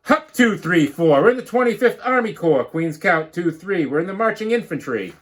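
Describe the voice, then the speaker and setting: Proud bug infantry chant, male reader: high, drawn-out, sing-song storybook drill. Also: very animated, fairly bright tone. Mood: proud, rousing. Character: proud bug soldiers chanting a marching cadence.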